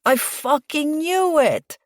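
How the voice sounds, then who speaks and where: A woman's voice: medium pitch, normal speed, darkish tone, no echo, studio quality, pitched above the performer's own voice, lightly acted. A woman voicing a cheeky, teasing man with a Spanish accent in an audiobook, playful in manner.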